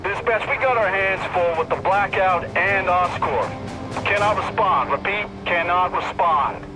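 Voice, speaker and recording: High-voiced harried cop over radio. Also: male voice, unhurried, rough recording. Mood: strained, urgent. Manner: clipped radio procedure talk. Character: harried male police officer on the radio. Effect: police radio filter. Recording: radio transmission under loud music.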